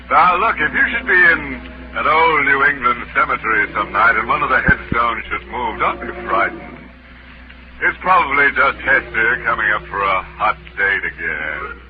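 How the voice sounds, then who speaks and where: An American actor playing a sardonic, gleefully macabre host of a 1940s radio mystery, punning with mock menace. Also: male voice, mid-range voice. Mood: sardonic glee. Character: gleefully macabre male host with gallows humour. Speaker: horror show host. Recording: muffled, noisy old radio recording.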